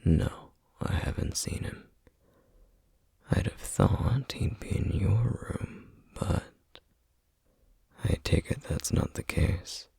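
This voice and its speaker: A man playing a stern, duty-bound flame demon in an ASMR roleplay, speaking in a breathy, husky half-whisper with grave, measured formality. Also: deep voice, lively intonation, dark tone, no room sound, heavily acted. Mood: calm, reassuring. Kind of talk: ASMR roleplay, scripted acting.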